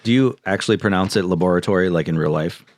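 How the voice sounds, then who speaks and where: A man's voice: deep voice, fast talker, no room sound. A man co-hosting a podcast with casual, bantering conversation. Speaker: podcast co-host.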